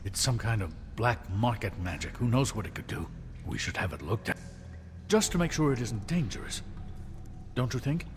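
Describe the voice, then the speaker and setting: A man playing an officious game bureaucrat, fussy and disapproving. His pitch moves around a lot, and the voice rings in a long reverb. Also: big pitch swings, moderate pace, slight background noise, lightly acted. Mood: wary. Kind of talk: video game voice acting.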